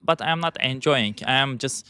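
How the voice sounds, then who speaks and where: A man, talking in a bitter, halting vlog monologue with frequent restarts. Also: medium pitch, bright, forceful tone, smooth, no echo. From Turkey. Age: 20s. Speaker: YouTuber.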